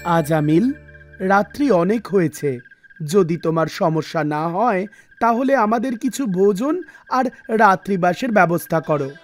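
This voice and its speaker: A woman voicing a solemn male wandering holy man in a measured, formal way, for a cartoon devotional story. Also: mid-range voice, lively intonation, voice pushed down, lightly acted. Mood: polite request. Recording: music bed under the voice.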